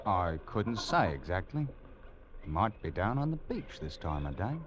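Male island shopkeeper, very animated intonation, folksy patter, old-time radio adventure, muffled recording. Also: deep voice, normal speed, very noisy. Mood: cagey. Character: chatty island trader, turning cagey, adult man. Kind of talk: 1940s-50s radio drama acting.

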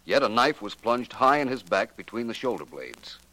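An actor with an American accent playing a suave, assured detective in a 1940s radio drama, measured and pointed in delivery. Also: male voice, mid-range voice, big pitch swings, sharp tone, low-quality recording. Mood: assured, accusing. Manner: measured, pointed, coolly deliberate. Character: suave, assured male amateur detective solving a murder. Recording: vintage 1940s radio recording.

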